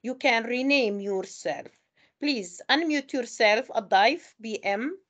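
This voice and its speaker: A woman's voice: a little high, lively intonation, slow pace, a bit bright. A woman hosting an online webinar, making formal, courteous announcements over a meeting call. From Palestine. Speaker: webinar host.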